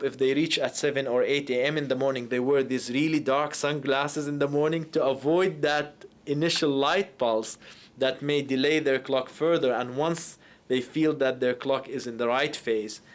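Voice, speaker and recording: A man's voice: medium pitch, steady tone, moderate pace. A man, a circadian biologist, explaining jet lag in a documentary interview with some restarts. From Jordan.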